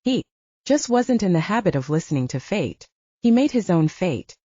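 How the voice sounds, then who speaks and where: A woman narrates a story in a low, husky voice, her pitch moving in lively, wide swings. She reads scripted fiction as an audiobook narrator. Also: moderate pace, dry recording, muffled recording.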